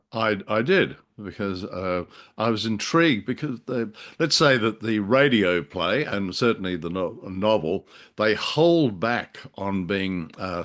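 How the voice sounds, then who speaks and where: A man co-hosting a casual discussion, speaking in a wordy, halting and wry way. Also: lowish voice, a bit bright. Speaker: podcast co-host.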